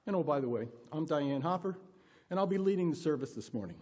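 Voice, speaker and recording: A person leading a worship service from a pulpit, reading aloud with deliberate, emphatic expression. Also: mid-range voice, lively intonation, a little mellow. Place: church pulpit.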